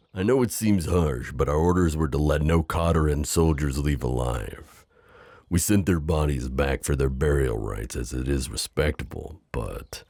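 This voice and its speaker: A man playing a loyal, teasing, overprotective knight in an improvised romantic audio roleplay, speaking tenderly and courteously with a gravelly voice. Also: rumbling low, mellow, no room sound, clean studio sound, heavily acted. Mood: somber.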